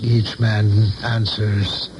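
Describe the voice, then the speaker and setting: An actor in a 1970s radio play, playing an older saloon keeper who is folksy, shrewd and philosophical with a loyal friend. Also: male voice, lowish voice, little pitch movement, extremely slow, noisy background. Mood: folksy, probing. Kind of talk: 1970s radio drama acting. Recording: dull vintage radio broadcast, music behind.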